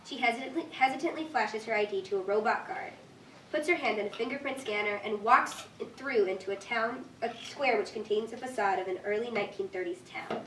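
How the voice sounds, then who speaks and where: A woman's voice: fairly high pitch, a little fast, a bit bright, recorded from a distance. Lady reading out stage directions at a live reading, echoey room, bit of background noise. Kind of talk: scripted narration at a staged reading.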